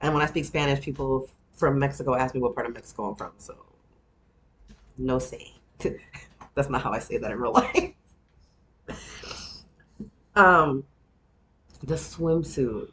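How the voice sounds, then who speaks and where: A woman's voice: a bass voice, unhurried, pauses often, a bit bright. A woman in her thirties from Texas with a Texan twang, host of a show, chatting casually on her own in a rambling, self-deprecating way with frequent laughs. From Texas, USA. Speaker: podcast host recapping a reality show. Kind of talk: casual solo chat.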